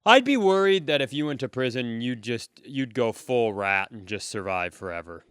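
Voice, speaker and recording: A man's voice: medium pitch, lively intonation, normal speed, fairly bright tone, clean tone, no room sound, clean studio sound. A man co-hosting a live comedy podcast, rambling as he asks playful, needling questions. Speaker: podcast host. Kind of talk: casual comedic chat.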